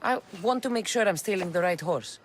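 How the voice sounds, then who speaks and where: Actress as a bold, expressive Greek mercenary woman. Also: on the low side, fairly quick, slight background noise, lightly acted. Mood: direct, businesslike. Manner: direct, confident, probing. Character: bold, self-assured Greek mercenary for hire. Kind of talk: dramatic video game dialogue.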